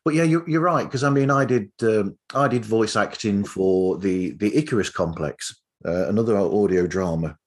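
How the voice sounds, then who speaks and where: A man hosting a casual podcast interview, speaking in a halting, conversational way and often repeating his opening words. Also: fairly low pitch. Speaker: podcast host.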